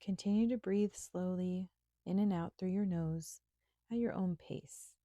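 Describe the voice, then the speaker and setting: Slow, mellow woman guiding meditation. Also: lowish voice, no room sound, clean studio sound. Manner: gentle, soothing, unhurried with pauses. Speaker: yoga and meditation instructor. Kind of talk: guided meditation.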